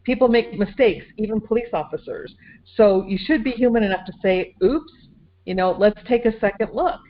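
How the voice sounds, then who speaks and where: A woman with a mid-pitched voice chats casually in a group discussion. The audio is slightly muffled, as on a lo-fi conference call. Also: normal speed. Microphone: phone conference call.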